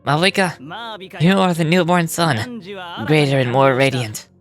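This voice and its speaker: Actor playing noble risen hero for fantasy game, solemn, measured, mid-pitched voice over clear music. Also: close to an everyday voice. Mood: solemn gratitude. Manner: formal, measured, reverent. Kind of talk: scripted fantasy game dialogue.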